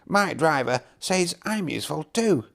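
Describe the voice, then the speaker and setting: A man voicing a gentle, wistful old traction engine in a children's storybook, speaking plaintively and earnestly. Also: a little high, expressive, a little slow, vocal fry, voice pushed up, heavily acted. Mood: earnest, proud. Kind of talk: children's storybook character reading.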